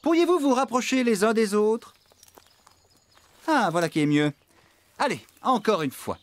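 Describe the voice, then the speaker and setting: Animated high-voiced cartoon farmhand. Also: male voice, unhurried, slight background noise. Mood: coaching. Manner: animated, exclamatory. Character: cheerful eager farmhand man, amateur musician and bandleader. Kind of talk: children's cartoon dubbing.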